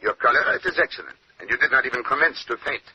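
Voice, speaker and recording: An actor playing a fussy, courtly Belgian detective with a French accent in an old radio drama. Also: male voice, mid-range voice, animated, quick pace, close to an everyday voice. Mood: grave, excitable. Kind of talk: 1940s radio drama acting. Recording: muffled, noisy old radio recording.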